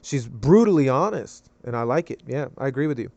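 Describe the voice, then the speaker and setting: A man's voice: medium pitch, animated, a little fast, gravelly, mellow, no echo, muffled recording. A man interviewing casually, mostly agreeing and giving short, encouraging replies. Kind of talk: casual conversation.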